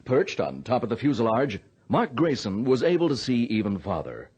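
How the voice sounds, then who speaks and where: A man's voice: medium pitch, wildly swooping pitch, normal speed, a little mellow, breathy edge, dull audio. A man giving scripted narration for an old-fashioned radio adventure.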